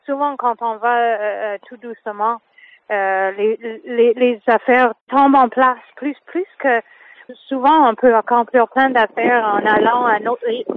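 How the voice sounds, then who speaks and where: A woman's voice: a little high, slight background noise. A woman singer whose first language is English, speaking French in a radio interview, hesitant and full of fillers and restarts. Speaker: singer guest. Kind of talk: phone interview. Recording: tinny phone line.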